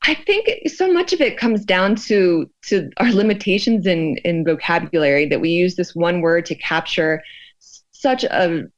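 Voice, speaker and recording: An American woman explaining her research conversationally as an interview guest, thoughtful and often pausing to find her words. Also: fairly low pitch, expressive, no echo, highs cut off. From United States. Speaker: sociologist and researcher, podcast guest.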